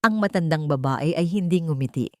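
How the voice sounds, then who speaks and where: A woman's voice: low-pitched, a little fast, dry recording, studio quality. A woman storyteller reading a scripted romantic drama as narration.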